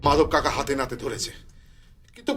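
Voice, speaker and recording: A man's voice: mid-range voice, steady tone, fairly quick, fairly bright tone, soft background music, natural voice. A man voicing a strict, angry village father in a children's cartoon, scolding with emphatic repeated commands.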